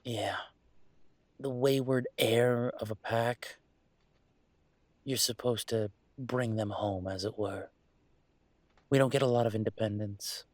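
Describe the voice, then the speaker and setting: Very dry, close sound with a young man's conversational voice at a middling pitch. A male actor plays a restless werewolf heir in an audio roleplay, rambling to a friend. He is wry and a little wistful. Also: fairly even intonation, slow pace, long pauses, no room sound, natural voice. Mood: troubled, resigned. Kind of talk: intimate audio roleplay acting, one side of a conversation.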